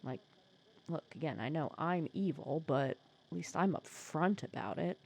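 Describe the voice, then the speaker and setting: A woman acts out a sly female supervillain with a soft spot, in an intimate ASMR audio roleplay. Her voice is lowish and very breathy, with lively swings in pitch. She talks in a casual, teasing, persuasive way, sardonic but caring underneath. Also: normal speed, heavily acted. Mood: persuasive, frank.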